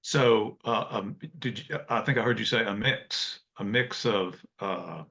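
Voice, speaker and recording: A man's voice: fairly low pitch, measured pace, creaky, fairly bright tone. An older man hosting a casual interview over a video call from a home office with bookshelves, speaking hesitantly with frequent fillers. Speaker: host. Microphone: video call webcam. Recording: dull lo-fi webcam audio.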